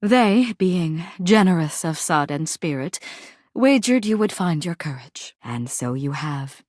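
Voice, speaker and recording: A woman's voice: on the low side, lively intonation, unhurried, a little mellow, no room sound, studio quality. A woman voicing a proud, melodramatic warrior general in a video game, speaking in formal, theatrical, Shakespearean old English. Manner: grandiose archaic Shakespearean speech, formal and theatrical.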